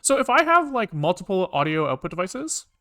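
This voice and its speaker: A man casually live-coding on a stream, thinking aloud in halting, muttered bits as he works. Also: sits high, very animated, a bit bright. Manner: halting, muttering to himself while working. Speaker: programming livestreamer.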